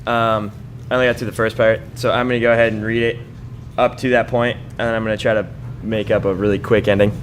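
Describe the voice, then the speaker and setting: A man's voice: on the low side, flat delivery, fast talker, slight background noise. A man doing a live reading of a bawdy comic story, stumbling over words and breaking into laughter now and then. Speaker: comedy troupe member reading own story.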